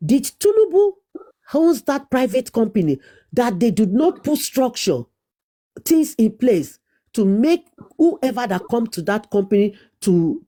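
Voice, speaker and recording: A woman's voice: a little high, mellow. A Nigerian woman arguing insistently in a heated debate, repeating herself and talking over interruptions.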